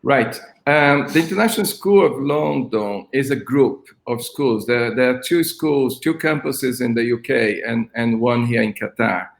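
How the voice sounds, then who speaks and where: An older man from Brazil, a school principal, speaking haltingly with many ums on a video call from his flat. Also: medium pitch, vocal fry, echoey room. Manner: hesitant, many ums and restarts. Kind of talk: online interview. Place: flat at home.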